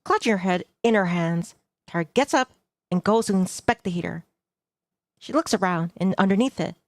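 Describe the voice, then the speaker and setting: A woman reading a visual novel aloud as a streamer, narrating in measured phrases with casual commentary. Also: on the low side, no room sound. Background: music.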